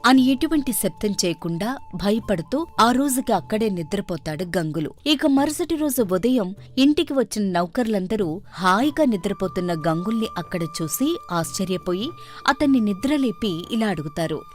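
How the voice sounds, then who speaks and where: Lively woman storyteller over faint music. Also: mid-range voice, moderate pace. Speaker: narrator. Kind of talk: expressive scripted story narration.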